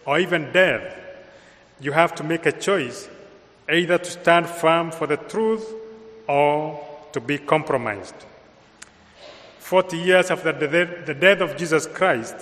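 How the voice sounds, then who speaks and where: Slow-speaking Kenyan priest in a church. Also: male voice, fairly high pitch, fairly bright tone. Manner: slow, measured delivery with pauses. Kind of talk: homily. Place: large church with long reverb. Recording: reverberant church hall sound.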